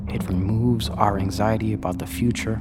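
An actor playing a serene, playful space-colony monk in an audio drama, speaking in a lofty, philosophical and teasing way. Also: male voice, fairly low pitch, steady tone, moderate pace, heavy background noise, lightly acted. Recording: long hall reverb, music underneath.

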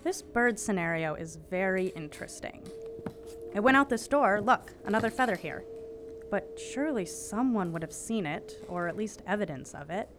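A woman's voice: mid-range voice, expressive, normal speed, music in the background, natural voice. A woman playing a bookish, curious teenage investigator in an audio drama, precise, wry and matter-of-fact. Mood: analytical. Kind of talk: naturalistic audio drama acting.

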